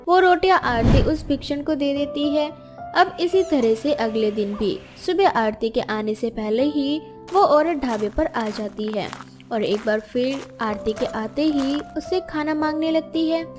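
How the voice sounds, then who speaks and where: Female storybook narrator, very high voice, scripted children's tale delivery over soft music. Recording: music behind the voice.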